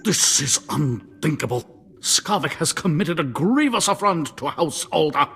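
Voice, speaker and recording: An actor playing a pompous, indignant noble curator in a video game, speaking in a haughty, formal, theatrical way. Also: male voice, on the high side, animated, unhurried, fairly bright tone, husky, heavily acted. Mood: indignant, urgent.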